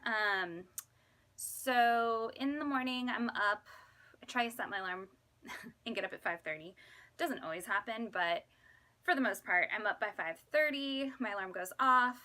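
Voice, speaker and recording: A woman in her thirties chatting casually to viewers in an animated, self-deprecating, exclamatory way, like a vlogger. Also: a little high, unhurried. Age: 30s. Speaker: vlogger and fifth grade teacher. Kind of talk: casual chatty vlog to camera.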